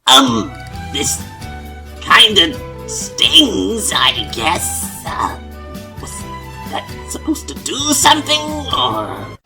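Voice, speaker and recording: A man's voice: sits high, huge pitch swings, drawn-out words, creaky, music in the background, full character voice. A man voicing a blustering, peevish male monster villain in a parody audio drama, theatrical and sarcastic. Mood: annoyed.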